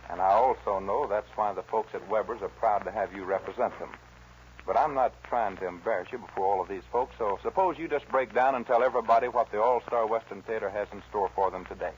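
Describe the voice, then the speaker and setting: A man's voice: lowish voice, quick pace. A man announcing and narrating a scripted 1940s radio show. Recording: dull, noisy 1940s radio recording.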